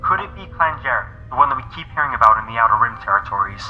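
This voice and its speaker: A man acting a brisk warrior in an audio drama, with a thin, radio-like sound. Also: mid-range voice, expressive, phone-quality sound, soft background music, lightly acted. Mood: questioning.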